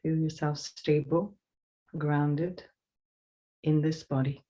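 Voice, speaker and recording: A woman leading a guided meditation as a teacher, giving slow, soothing instructions with pauses. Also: low-pitched, flat delivery, dark tone, poor audio.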